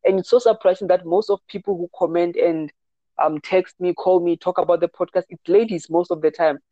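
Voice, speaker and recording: A man with a slightly higher voice co-hosts a fight podcast in an easygoing way. He comes through a dull, muffled call line in a dry space, filling his talk with "yeah" and "um". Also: steady tone, a little fast, dark tone, dry recording. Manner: easygoing, says "yeah" and "um" often. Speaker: podcast co-host. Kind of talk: casual MMA podcast chat. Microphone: remote call over Wi-Fi.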